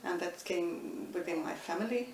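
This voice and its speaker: A middle-aged woman, thoughtfully answering interview questions with many pauses and restarts. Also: lowish voice, a little mellow. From Estonia. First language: Estonian. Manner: thoughtful, frequent hesitations and restarts. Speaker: interviewee, linguistics professor. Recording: echoey room, distant mic, faint noise.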